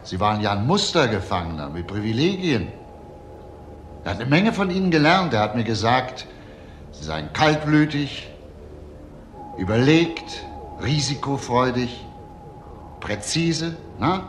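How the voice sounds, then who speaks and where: Shrewd, probing detective, swinging intonation. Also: male voice, mid-range voice, sing-song, slight background noise. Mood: provoking, needling. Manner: probing, insistent questioning with pointed pauses. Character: shrewd, probing, provocative male police detective. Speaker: actor. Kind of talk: German TV crime drama acting.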